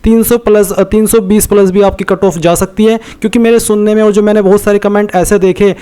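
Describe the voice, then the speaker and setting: A man's voice: sits high, steady tone, brisk, warm tone, clear, resonant tone. An Indian man hosting an informal explainer for viewers, talking conversationally and repeating his points, with English words mixed in. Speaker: YouTube host giving exam information.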